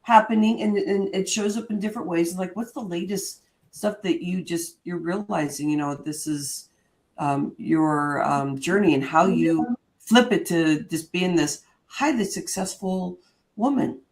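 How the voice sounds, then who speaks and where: Woman, 50s, panel host; enthusiastic, halting, filler-heavy. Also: on the low side, darkish tone. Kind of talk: hosting a panel conversation.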